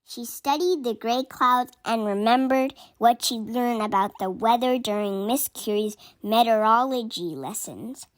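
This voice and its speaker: A woman reading a children's picture book aloud in an expressive way, acting out the dialogue. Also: a little high, measured pace, hardly any pauses. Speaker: storybook reader for children.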